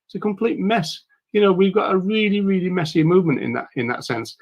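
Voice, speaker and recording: Livestream host: man, croaky and gravelly, somewhat high, rambling with lots of fillers. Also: expressive, fast talker, lo-fi sound. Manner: rambling, with many fillers and restarts. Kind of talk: casual livestream talk.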